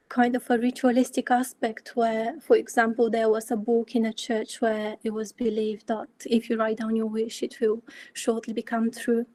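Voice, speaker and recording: A young woman in her twenties from Bulgaria presenting her work in an online talk, measured, with frequent ums. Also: on the high side, a little mellow, dry recording. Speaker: architecture student speaker.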